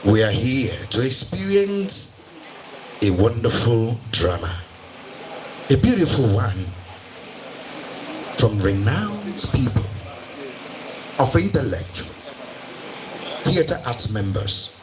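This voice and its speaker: Male MC, Igbo Nigerian accent, showy and very lively delivery, noisy live-event microphone sound. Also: mid-range voice, slow, pauses often. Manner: showy announcer phrasing, switches between Igbo and English. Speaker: master of ceremonies at a stage play. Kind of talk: live event hosting to an audience.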